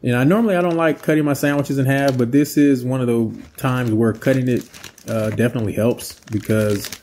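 A man in his thirties hosting a home cooking channel, talking through a recipe in a relaxed, rambling way with lots of fillers. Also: mid-range voice, brisk, a little hiss or hum. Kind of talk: casual cooking voice-over and taste test. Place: indoor home set with plain backdrop.

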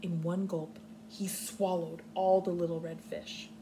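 A woman's voice: on the low side, level tone, a little slow, mellow. A young adult woman with an American accent reads aloud and explains simply to small children, like a kindergarten teacher. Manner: instructive, simple wording with clear explanations. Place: living room on a couch, indoors. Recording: clearly noisy home recording.

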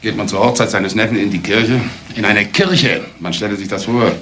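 An actor playing a scornful, indignant older uncle in a German crime radio play, with an emphatic way of talking. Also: male voice, on the low side, normal speed, fairly bright tone, a little hiss or hum, lightly acted. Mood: scornful outrage. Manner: emphatic, indignant rhetorical questions.

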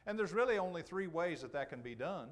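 Higher-pitched preacher giving a sermon. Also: male voice, expressive, fast. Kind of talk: sermon, expository Bible teaching. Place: church auditorium, speaking from the pulpit to a congregation.

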